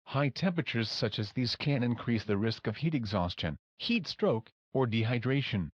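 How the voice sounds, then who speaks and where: Man playing weather alert reader, matter-of-fact, medium pitch, lo-fi dry recording. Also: animated, moderate pace, dry recording, lightly acted. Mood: measured, serious. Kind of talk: scripted emergency alert reading. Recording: dull, dry lo-fi alert audio.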